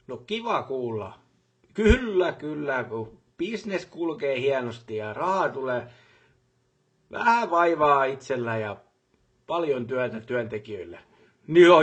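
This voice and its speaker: Brisk factory boss, husky. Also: male voice, medium pitch. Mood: pleased. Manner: businesslike, colloquial, repeats short affirmations. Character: busy, brisk male factory boss on the job. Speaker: voice actor for a game character. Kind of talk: game voice lines, phone calls and workplace speeches.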